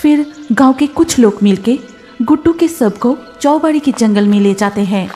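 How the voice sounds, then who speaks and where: A woman's voice: on the high side, animated, normal speed, warm tone, soft background music. A woman narrating a scripted story as the storyteller.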